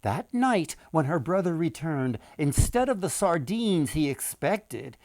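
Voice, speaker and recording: A man reading a folktale aloud as its author, in an expressive storytelling style with deliberate, dramatic pauses. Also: fairly high pitch, no echo.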